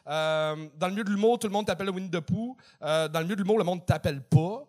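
A man's voice: high voice, fast talker, a little mellow. A Québécois French-accented man co-hosting, giving encouraging and teasing feedback with swearing. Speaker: co-host. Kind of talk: casual feedback to comedians.

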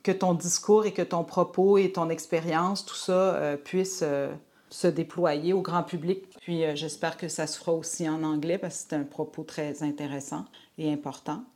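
Woman host, lowish voice, chatty questions, keeps affirming the guest. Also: steady tone, normal speed, a little mellow. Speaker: podcast host, interviewer. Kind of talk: interview conversation.

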